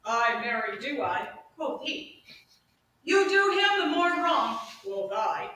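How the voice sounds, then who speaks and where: A woman playing a put-upon comic male servant, grumbling and declaiming slowly in a staged Shakespeare reading. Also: on the high side, sing-song, pushed and bright, exaggerated voice. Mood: aggrieved, comic. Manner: slow, declamatory storytelling, mimicking quoted speakers. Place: live staged reading, recorded from audience distance. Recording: echoey room, distant mic, faint noise.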